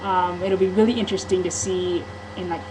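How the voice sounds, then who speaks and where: Low-voiced woman, candid and rambling. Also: fairly even intonation, a little fast, noisy background. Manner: candid and rambling, with frequent ums and restarts. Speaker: vlogger. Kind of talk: casual personal vlog monologue.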